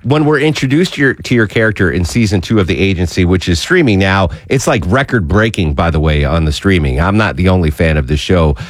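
Brief: male radio host, enthusiastic, rambling, lots of restarts, very lively, dry studio sound. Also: low voice, a little fast, few pauses, no echo. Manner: eager, rambling, many fillers and restarts.

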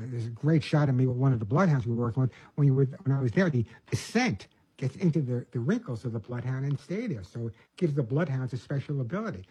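Older dog trainer, mellow and husky. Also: male voice, on the high side, dry recording, poor audio. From Long Island, New York. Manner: rambling, restarts, folksy asides. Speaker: pet show host and dog trainer. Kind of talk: casual monologue.

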